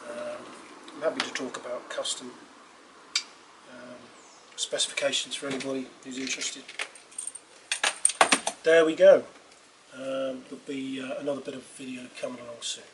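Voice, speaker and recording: A middle-aged man talks casually through a product demonstration. His delivery is offhand and halting, at an ordinary pitch. The recording has clearly audible background noise. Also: level tone. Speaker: presenter demonstrating portable PA equipment. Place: small wooden cabin with doors open. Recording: clear background noise, open-room ambience.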